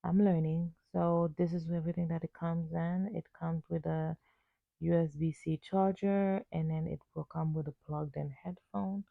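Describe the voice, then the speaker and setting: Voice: mid-range voice, flat delivery, normal speed, creaky, no echo. An amateur reviewer of unclear sex giving a casual unboxing voice-over in short, plain, slightly hesitant sentences. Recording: muffled phone-like narrow sound.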